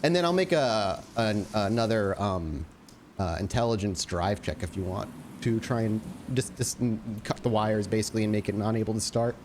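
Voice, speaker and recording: A man's voice: lowish voice, lively intonation, moderate pace, noisy background. A man chatting informally and with some swearing as a player in an improvised tabletop roleplaying game.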